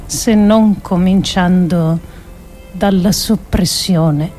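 An actress performing a theatrical monologue as a grave, idealistic political thinker, with deliberate, emphatic phrasing and dramatic pauses. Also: female voice, lowish voice, dark tone, faint music behind. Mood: grave, urgent. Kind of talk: theatrical scripted monologue adapted from philosophical essays.

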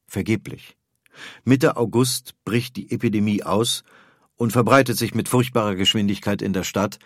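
A man's voice: fairly low pitch, no room sound, studio quality. A man reads scripted documentary narration as a narrator, in pronunciation.

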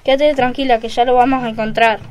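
High pitch, female performer as lovestruck schoolboy, earnest, school radio drama, slightly noisy recording. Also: steady tone, quick pace, close to an everyday voice. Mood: friendly. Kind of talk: amateur school radio play.

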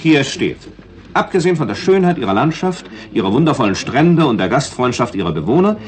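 A man's voice: medium pitch, big pitch swings, a little fast, crowd noise, close to an everyday voice. A man playing an irritable, sarcastic tourist who feels cheated, in a 1960s radio drama. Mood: pointed. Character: indignant male tourist, cheated and sharp-tongued.

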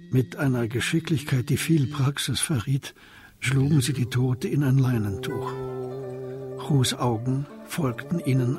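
A man narrating a radio drama as a scripted literary story, in a composed and deliberate manner. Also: medium pitch, lightly acted.

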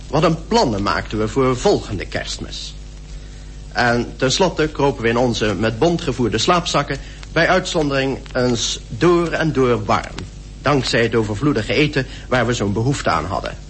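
An actor playing a weary British polar expedition member who reflects on the journey in a measured radio drama monologue. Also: male voice, medium pitch, lively intonation, a bit bright, slight background noise, close to an everyday voice. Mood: fond.

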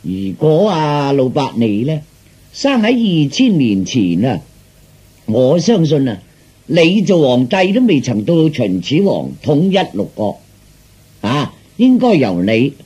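A man voicing a glib, flattering fortune teller in Cantonese, with theatrical sales patter. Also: medium pitch, takes it slowly, breathy edge, full character voice. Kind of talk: Cantonese storytelling performance.

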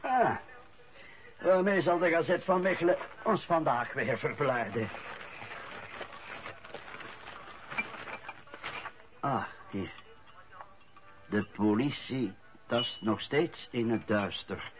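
A man's voice: mid-range voice, slow pace, dull audio, music in the background, natural voice. A Flemish actor playing a wry man at home over breakfast and the newspaper in a radio drama. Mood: grumbling, wry.

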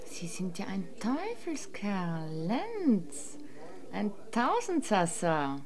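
A quick-witted woman sleuth in a radio drama. Her medium-pitched voice moves up and down with lively inflection. She questions everything with playful skepticism. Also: heavily acted. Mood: excited, teasing. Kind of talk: German radio drama dialogue.

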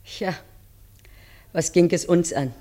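A woman's voice: fairly low pitch, slow pace, warm tone. An actress playing a weary railwayman's wife in a 1950s radio play, addressing her husband intimately and dramatically. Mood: reminiscing. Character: weary, pleading railwayman's wife looking back on youth. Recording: 1950s radio recording over music.

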